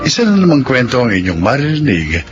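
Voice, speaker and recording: Isang lalaking tagapagpakilala ng dramang panradyo na bumabasa ng nakahandang introduksyon, sa kulob na recording. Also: male voice, medium pitch, sing-song, fairly quick. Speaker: announcer and story host. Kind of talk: scripted radio drama introduction and credits. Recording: dull lo-fi audio under music.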